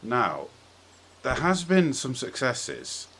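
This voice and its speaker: A man's voice: mid-range voice, wildly swooping pitch, slow pace, fairly bright tone. A man hosting a casual podcast discussion, thinking aloud and hedging as he goes. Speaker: podcast host. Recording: clear background noise.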